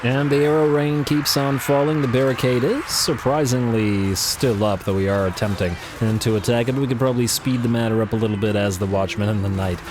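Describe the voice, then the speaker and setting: A man casually commentating on a strategy game he is playing, chatty with lots of fillers, his voice a little raspy from coughing. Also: mid-range voice, a little fast, few pauses, noisy background. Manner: relaxed, chatty, frequent fillers and repeated words. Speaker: let's play commentator.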